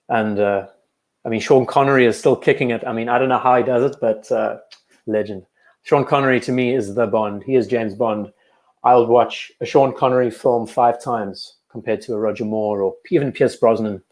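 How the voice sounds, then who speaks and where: A young man with a Cape Town South African accent, hosting a casual livestream and chatting in a relaxed, enthusiastic way, thinking aloud as he goes. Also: mid-range voice. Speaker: livestream host.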